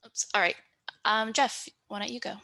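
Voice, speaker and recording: Bright quiet woman moderating a call. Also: medium pitch, steady tone, normal speed, gravelly. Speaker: panel moderator.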